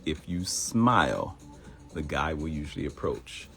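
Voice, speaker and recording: A middle-aged man with a Baltimore accent talks to his live audience in a low, deep voice. His pitch swings widely as he tells stories and acts out quoted voices. Soft music plays faintly behind him. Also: unhurried, vocal fry. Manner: animated, acts out quoted voices, addresses viewers directly. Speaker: host of a live morning show. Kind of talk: casual livestream talk.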